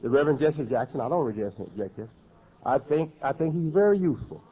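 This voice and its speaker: A man's voice: medium pitch, lively intonation, quick pace, phone-quality sound. A man preaching a sermon in an emphatic way, repeating and rephrasing his words as he builds each point.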